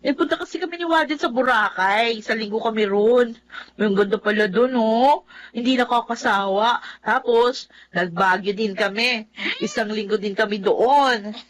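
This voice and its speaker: A woman's voice: a little high, moderate pace, lightly acted, poor audio. An actress playing a headstrong young woman in a radio drama, talking in a dismissive, defiant way.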